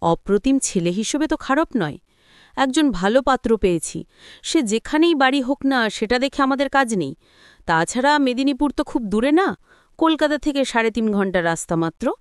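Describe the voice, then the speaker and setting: Woman reading as a sensible middle-aged father, high-voiced. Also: animated, moderate pace, clear, resonant tone, no echo, clean studio sound. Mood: calm reasoning.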